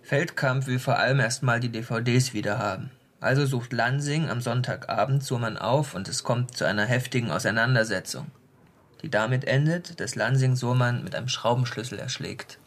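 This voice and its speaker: A plain-spoken detective, played by a man, gives a factual report in a medium-pitched voice. He stays unruffled, with the natural delivery of a radio crime play. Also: moderate pace, close to an everyday voice. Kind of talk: naturalistic German radio crime drama dialogue.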